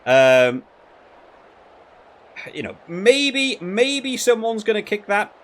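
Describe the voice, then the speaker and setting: A man's voice: high voice, big pitch swings, slow, fairly bright tone. A man giving casual gameplay commentary as a video creator, rambling and thinking aloud as he goes. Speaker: gaming video creator reviewing a rugby game.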